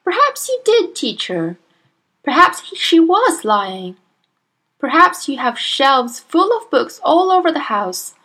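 A woman's voice: sits high, very animated, normal speed, fairly bright tone, pitched above the performer's own voice. A woman voicing a polite but resolute young schoolteacher. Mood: earnest, firm. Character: firm, earnest young schoolteacher.

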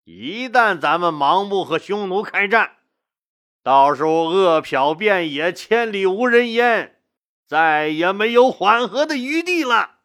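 A storyteller voicing a combative, blunt male court officer, scolding forcefully with scornful rhetorical questions. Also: mid-range voice, lively intonation, slow.

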